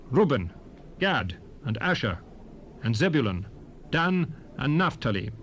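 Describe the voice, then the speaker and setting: A man playing a solemn prophet, reciting scripture in a measured, declamatory way for a dramatized Bible recording. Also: a little high. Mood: solemn, stern. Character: solemn male prophet proclaiming blessings and curses. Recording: hissy 1970s cassette recording.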